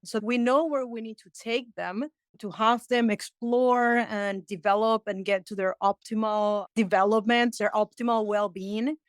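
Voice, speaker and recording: Smooth-voiced woman with a Peruvian accent. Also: medium pitch, dry recording. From Peru. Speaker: interview guest, Montessori educator. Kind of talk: explanatory interview talk.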